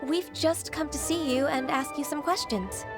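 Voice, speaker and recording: Formal young official, slightly high, polite and plain. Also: female voice, moderate pace. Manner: polite, direct. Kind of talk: video game voice acting. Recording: game music behind.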